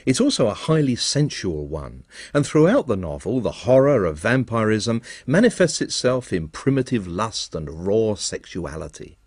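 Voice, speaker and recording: Brief: male presenter, lively pitch, slightly husky, wry scripted narration. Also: normal speed, hardly any pauses, no echo. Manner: dry, wry understatement. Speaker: programme presenter.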